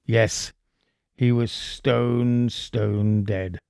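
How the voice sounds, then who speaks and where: A man narrating a horror story in the first person as a nervous, boastful murderer, theatrical and deliberate with dramatic pauses. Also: fairly low pitch, steady tone, vocal fry, darkish tone, clean tone, no room sound, studio quality, heavily acted. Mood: grim satisfaction. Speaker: audiobook narrator.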